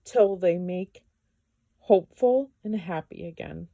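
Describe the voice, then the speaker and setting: A woman's voice: on the low side, takes it slowly, no room sound, narrow, tinny audio. A woman voicing a kind, wise horse from a storybook in a comforting, reassuring manner.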